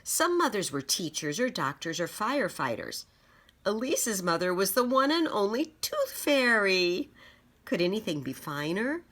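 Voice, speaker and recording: A woman reading a children's story aloud as an expressive narrator. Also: medium pitch, a little slow. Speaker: storybook reader.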